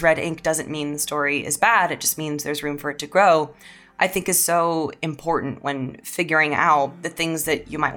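Young female writer as podcast guest; low voice, candid, earnest, casual reflective talk. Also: fairly bright tone.

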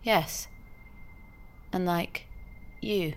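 A woman's voice: lowish voice, very slow. A woman acting a needy, unstable former estate agent in an audio drama, hesitant and anxiously repeating herself. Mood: probing, unsure. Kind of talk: naturalistic audio fiction acting.

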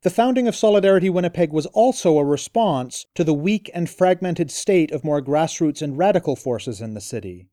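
A man hosting a radio interview, reading a measured scripted introduction. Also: fairly high pitch, expressive, mellow, dry recording, clean studio sound. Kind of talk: scripted narration.

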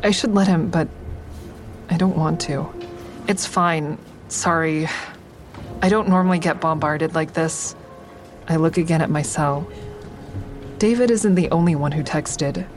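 A woman's voice: low-pitched, measured pace, long pauses, faint music behind. An actress playing a guarded, introspective woman who narrates her own story in an audio drama, with wry understatement. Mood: careful, reassuring. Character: guarded woman, a stand-up comic and crisis-line volunteer, narrating. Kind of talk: first-person audio drama narration and dialogue.

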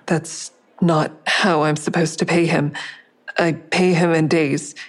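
A lowish-voiced, anxious young woman sleuth. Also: little pitch movement, slow. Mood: uneasy, defensive. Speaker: lead character and first-person narrator. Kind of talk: naturalistic audio drama acting.

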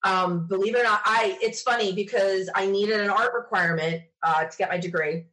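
A woman's voice: medium pitch, brisk, low-quality recording. A woman being interviewed, telling casual personal stories in a chatty way and acting out bits of remembered conversation. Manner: chatty, animated quoting of self and others. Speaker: interview guest.

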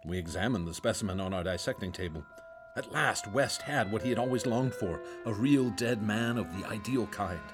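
An actor playing a nervous doctor who recalls grim events in a radio drama, earnest and hesitant. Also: male voice, lowish voice, moderate pace, over music, natural voice. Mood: recounting. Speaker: narrator and assistant. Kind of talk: radio drama acting and narration.

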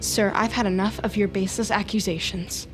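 A woman's voice: medium pitch, fairly even intonation, a little fast, lightly acted, low-quality recording. A woman playing a defiant, agitated office team leader with secrets in an audio drama, stammering and speaking dramatically. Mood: wounded, indignant. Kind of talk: audio fiction acting with confiding monologue. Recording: music bed behind the voice.

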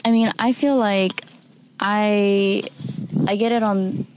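A woman talking candidly and informally in a conversation, thinking aloud in halting, reflective phrases. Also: mid-range voice, steady tone, darkish tone, a little hiss or hum, rough recording.

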